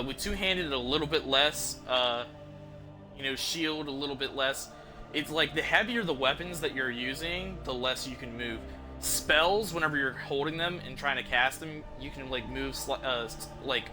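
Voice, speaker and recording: A man's voice: mid-range voice, normal speed. A man hosting a game livestream, chatting to viewers in an informal, rambling, explanatory way without a script. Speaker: livestream host, gamer. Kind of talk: casual unscripted chat to stream viewers. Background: music behind the voice.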